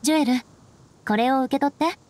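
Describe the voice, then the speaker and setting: Woman voicing kindly anime game lady knight, high pitched, composed n assured. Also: a little fast, a little mellow, a little hiss or hum, close to an everyday voice. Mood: calm kindness. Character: poised, kindly young noblewoman knight. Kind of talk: anime-style game dialogue.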